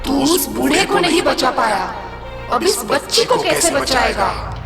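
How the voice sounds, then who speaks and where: Creepy possessed girl demon, slow n threatening, frightening echoey voice, horror tale. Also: high voice, big pitch swings, voice pushed up, exaggerated voice. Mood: menacing. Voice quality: eerie, frightening, creaky. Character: menacing spirit possessing a young girl, threatening. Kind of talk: horror storytelling. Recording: echoey, loud eerie violin music behind.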